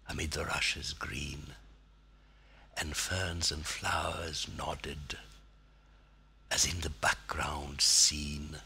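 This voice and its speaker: A man reciting poetry in a theatrical, declamatory manner, with weighty pauses and a husky, gravelly, breathy voice. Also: extremely low pitch, sharp tone. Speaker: poetry reader.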